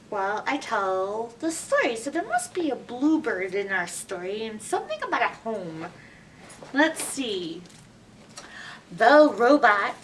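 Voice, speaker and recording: A woman reads a storybook to young children in a high voice that swoops around expressively. She takes it slowly and asks the listeners questions. She sounds a bit away from the mic, with some background noise. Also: bright, forceful tone, recorded from a distance. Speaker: library storytime reader. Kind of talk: read-aloud picture book storytelling for children, with asides.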